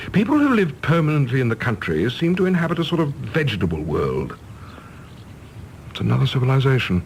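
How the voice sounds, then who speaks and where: A stiff, proud English career diplomat in a radio play. His formal, clipped delivery swings widely in pitch and sounds pompous and disapproving, over a faintly noisy, rumbling recording. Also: sing-song, moderate pace, creaky, pauses often. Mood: reserved, aloof. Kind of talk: British stage-play radio drama acting.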